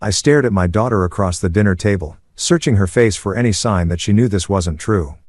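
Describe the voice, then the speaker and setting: Low-voiced male story narrator, dry close sound. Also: fairly quick, darkish tone, no room sound. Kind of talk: first-person story narration.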